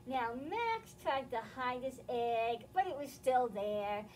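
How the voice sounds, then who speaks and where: A middle-aged American woman speaks to children as a storytime presenter. Her voice is fairly high and she takes her time, keeping explanations simple. Faint rumbling noise sits behind her. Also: lively intonation, takes it slowly, a little mellow. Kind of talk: children's read-aloud and simple instruction. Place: indoor library, seated among bookshelves. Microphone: camera several feet away.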